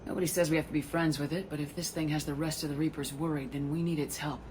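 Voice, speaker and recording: A woman's voice: sits low, fairly even intonation, quick pace, a little mellow, a little hiss or hum, natural voice. A woman voicing a determined military starship commander in a video game, with a clipped, authoritative delivery. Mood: resolute.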